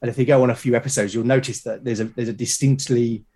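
A British man talking as a podcast guest, explaining his creative process in a reflective, halting way. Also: mid-range voice, steady tone, warm tone. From Britain. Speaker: podcast guest, creator of a solo role-playing show.

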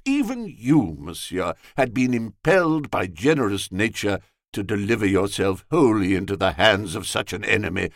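A man voicing an effusive, sentimental young gentleman in an audiobook, gushing in florid speech with French courtesies. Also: mid-range voice, no room sound, clean studio sound. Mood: tender rapture.

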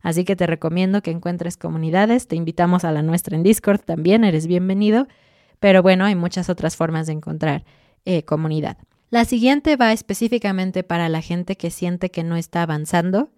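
A woman hosting a podcast for Spanish learners, giving clear, encouraging advice with lively asides. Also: fairly low pitch, brisk, no room sound, studio quality. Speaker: Spanish teacher and podcast host.

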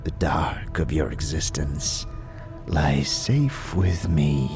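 A man playing a frail, weary old scribe in a fantasy audio drama, speaking solemn archaic lines with a breathy, wheezing, whispery rasp. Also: very deep voice, sing-song, heavily acted. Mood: solemn, protective. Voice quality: breathy, wheezing, rattling with whispered trailing phrases. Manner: slow, solemn, archaic formal speech. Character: frail, weary, ancient undead-order scribe, solemn and contemptuous. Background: music behind the voice.

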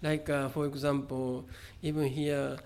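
A middle-aged man with a Japanese accent speaks in a slightly higher register than most men. He talks haltingly, with frequent fillers and restarts, as a guest in an interview. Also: flat delivery, a little mellow. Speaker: visual artist, interview guest. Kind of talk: conversational interview.

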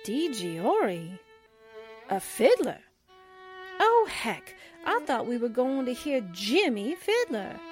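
An actress playing a naive, excitable, girlish sidekick in the style of 1940s radio drama. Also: fairly high pitch, slow pace, faint music behind. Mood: confused. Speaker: actor.

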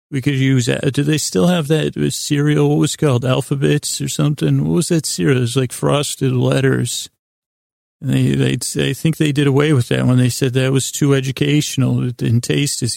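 A man hosting a podcast, delivering a meandering, improvised bedtime monologue in a halting way, repeating himself and trailing off mid-thought. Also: mid-range voice, darkish tone, no room sound, studio quality. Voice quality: gravelly, creaky voice. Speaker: podcast host.

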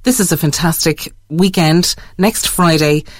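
A woman's voice: deep voice, measured pace, no room sound. A woman hosting a chatty radio interview. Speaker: radio host.

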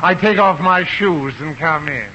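An actor in a 1950s radio comedy playing an effusive rug-selling suitor, with a gushing, theatrical delivery. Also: male voice, a little high, unhurried, sharp tone. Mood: adoring. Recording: muffled, noisy old radio recording.

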